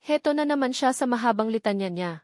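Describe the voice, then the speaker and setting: A woman's voice: fairly high pitch, animated, fast, darkish tone, no echo, clean studio sound. A woman reading a first-person novel as scripted audiobook narration.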